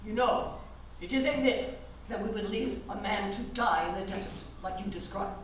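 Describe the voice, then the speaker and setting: A woman's voice: mid-range voice, normal speed, natural voice. A woman playing a proud, solemn frontier healer who speaks in stilted formal phrases in a comic Western radio play. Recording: echoey hall, distant mic, faint noise.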